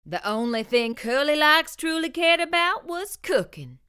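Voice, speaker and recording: A middle-aged woman reading a children's picture book aloud with expressive storytelling. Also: high-pitched, unhurried. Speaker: children's book reader, channel host.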